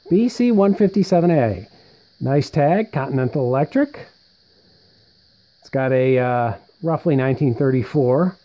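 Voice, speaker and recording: A man's voice: on the high side, dark tone, poor audio. A man giving a casual, unscripted show-and-tell as presenter, rambling conversationally and thinking aloud. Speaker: presenter showing vintage military radio equipment.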